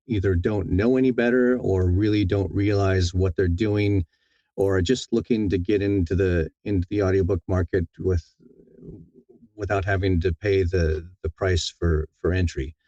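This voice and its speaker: A man giving thoughtful interview answers in a halting, deliberate way, often repeating words as he thinks. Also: deep voice, steady tone, warm tone, dry recording, highs cut off. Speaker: audiobook narrator, interview guest.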